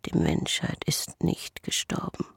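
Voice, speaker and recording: An actor playing a haunted, doubting twin brother in an intimate radio drama monologue, speaking in a husky, hushed, halting way. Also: male voice, dry recording, in a whisper, studio quality, natural voice. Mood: hushed, insistent. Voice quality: husky, breathy, creaky.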